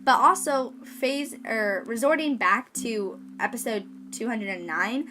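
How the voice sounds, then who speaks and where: A woman's voice: fairly high pitch, unhurried, sharp tone. Woman podcaster, noisy recording with hum. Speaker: fan podcast co-host. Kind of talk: casual chat about an audio drama.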